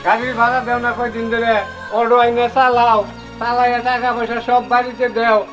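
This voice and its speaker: A stern creditor, played by a man, laying down demands in blunt, threatening words. His voice sits very high. Light music plays underneath. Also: monotone, fairly quick. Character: stern village moneylender owed for an auto. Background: background music.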